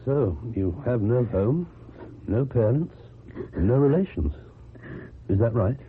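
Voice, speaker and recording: A man's voice: medium pitch, expressive. An actor playing a reserved, lonely, well-educated ex-convict with a British accent, kindly but wary and formal in his speech, in a radio drama. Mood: questioning. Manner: formal, measured, precise speech. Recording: muffled, noisy old radio recording.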